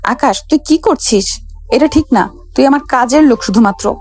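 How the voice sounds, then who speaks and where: A woman's voice: sits high, moderate pace, a little mellow, soft background music. A woman playing a proud young rich bride in a romantic audio story, bossy and flustered. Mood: flustered, scolding.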